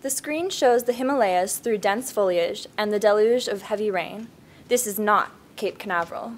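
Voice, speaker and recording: A woman's voice: mid-range voice, moderate pace. A woman giving scripted narration, reading screenplay directions at a table read. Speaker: stage-direction reader.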